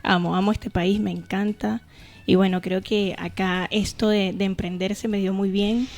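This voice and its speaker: Venezuelan woman, mid-pitched podcast host. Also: little pitch movement, moderate pace, a little mellow. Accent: Venezuelan Caribbean. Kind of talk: casual podcast chat with scripted intro.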